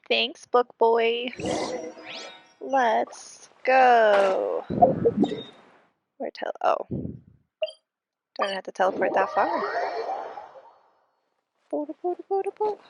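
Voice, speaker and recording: A woman's voice: a little high, extremely slow, gravelly, pauses often, background noise. A woman streaming a game with casual, playful commentary, sounding congested. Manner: playful, giggly, exclamatory. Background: game music.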